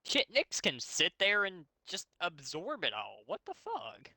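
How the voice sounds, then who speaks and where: A man reads fan fiction aloud as host and pokes fun at it. His voice is very bright and forceful, with casual swearing, and the recording is dry and close. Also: high voice, unhurried, dry recording. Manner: animated, sweary, mocking. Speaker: host reading a story aloud. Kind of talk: fanfiction narration with comic, casual commentary.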